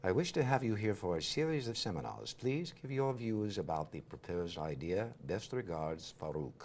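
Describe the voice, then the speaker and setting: A man's voice: low voice, sing-song, normal speed, darkish tone, a little hiss or hum. A man voicing a formal male seminar organizer reading out his own letter, in a put-on Pakistani accent with stiff, overly formal phrasing.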